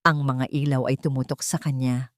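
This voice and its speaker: Female story narrator, Filipino accent: low register, measured dramatic delivery, very dry recording. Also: flat delivery, dry recording.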